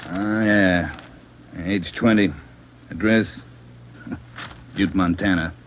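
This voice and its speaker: A man's voice: low-pitched, fairly even intonation, slow pace. An actor playing an impatient, brusque male chief of detectives in a 1940s radio crime drama. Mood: gruff, impatient. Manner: brusque, demanding. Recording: muffled, hissy 1940s radio recording.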